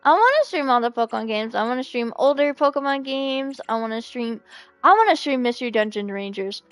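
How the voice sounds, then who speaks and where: High-pitched, playful woman streamer. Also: fairly quick, no room sound. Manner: playful, expressive, pleading and exclaiming. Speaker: game streamer. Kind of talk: casual livestream chat over gameplay. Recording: music behind the voice. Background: music.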